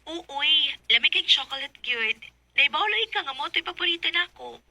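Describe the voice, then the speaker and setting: An actress playing a coy, excitable young woman being courted in a radio drama, gushing and exclaiming, on a noisy recording. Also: high-pitched, normal speed. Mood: coy, flustered.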